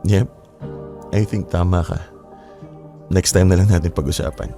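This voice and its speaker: Casting: lovestruck young Filipino man, low voice, sincere and halting, radio drama over clear background music. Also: steady tone, warm tone. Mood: tender, sincere. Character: earnest, apologetic young man in love, Jano.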